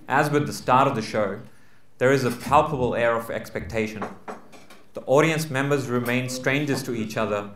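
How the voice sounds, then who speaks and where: A man's voice: mid-range voice, a bit bright. A man reading a literary short story aloud at a live event, in narrative prose. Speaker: reader at a book launch. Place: live book launch venue. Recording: roomy, echoing live venue recording.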